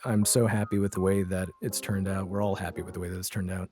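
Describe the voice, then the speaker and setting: A man's voice: sits low, level tone, fast talker, darkish tone. A man speaking as a podcast guest in a casual, reflective interview conversation, explaining his thoughts with examples. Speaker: podcast guest, audio drama creator.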